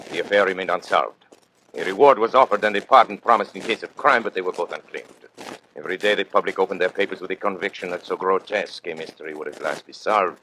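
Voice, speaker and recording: Man acting as grave storyteller, low-pitched, formal, measured radio mystery narration, faint noise. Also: bright, forceful tone, rough recording.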